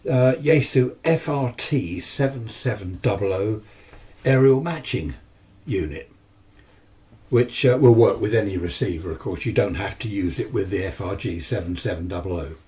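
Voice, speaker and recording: A man's voice: fairly low pitch, normal speed, mellow. A British man giving a casual, unscripted hobby talk as an amateur radio enthusiast, chatty, rambling and matter-of-fact. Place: home radio shack, indoors. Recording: distant microphone, noisy room ambience.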